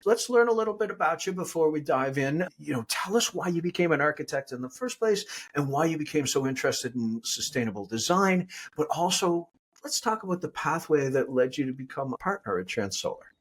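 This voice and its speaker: A man's voice: on the high side, lively intonation, a little fast, husky. A middle-aged man hosting a podcast, friendly and joking with his guest. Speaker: podcast host. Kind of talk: podcast interview.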